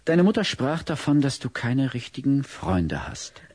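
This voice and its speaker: A big, kindly child psychologist in a radio play, gently probing and explaining. The voice is husky and lively in pitch, recorded dry. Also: male voice, a little slow, no echo. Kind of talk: audio-drama acting.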